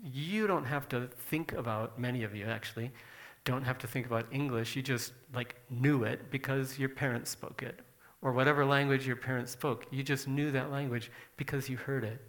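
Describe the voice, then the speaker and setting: A man's voice: mid-range voice, normal speed, a bit bright, low-quality recording. Casual presenter guy, explains things plainly, ordinary mid voice.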